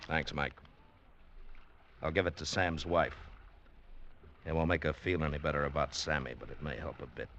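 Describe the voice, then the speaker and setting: A man's voice: very deep voice, steady tone, moderate pace, creaky, pauses often, a bit bright, dull audio, slight background noise, exaggerated voice. A man playing a tough, sardonic boat captain in a 1940s radio drama, talking in a blunt, dry, hard-boiled way.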